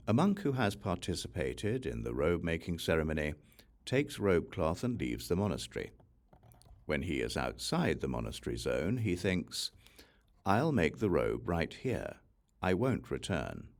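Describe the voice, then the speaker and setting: A man reading scripture aloud as an audiobook narrator, in a measured, formal manner. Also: sits low, big pitch swings. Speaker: audiobook reader.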